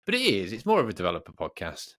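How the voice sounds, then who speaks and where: A man with a fairly low voice and a close, dry sound co-hosts a podcast. He chats casually, teasing and joking in an animated way. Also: quick pace, dry recording. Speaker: podcast co-host. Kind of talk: casual banter between co-hosts.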